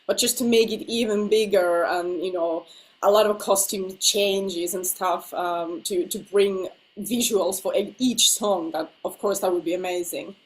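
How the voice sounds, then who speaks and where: A woman's voice: medium pitch, steady tone, unhurried, rough recording. A woman with a slightly nasal voice from being ill, whose first language is Finnish, chatting casually over a video call as the interviewed singer and laughing often.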